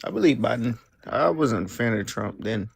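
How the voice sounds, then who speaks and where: A man's voice: medium pitch, lively intonation, normal speed, vocal fry, slightly rough. A young man arguing in a slangy, offhand way on a debate call, often hesitating. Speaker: caller, debate guest. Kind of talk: casual argument.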